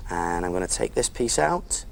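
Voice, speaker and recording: A man's voice: low voice, big pitch swings, normal speed, creaky, heavily acted. A man playing a friendly big cook who presents simple step-by-step cooking on a preschool show, in an encouraging way. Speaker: children's cookery presenter.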